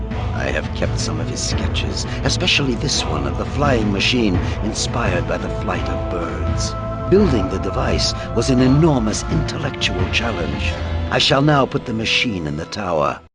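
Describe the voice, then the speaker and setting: A super deep, formal vampire count, slow and ominous, loud music under it. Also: male voice. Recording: loud game music behind.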